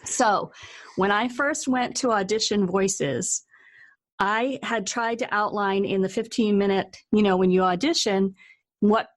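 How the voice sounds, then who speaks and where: Woman, medium pitch, podcast host, casual conversational interview style, chatty storyteller. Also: measured pace.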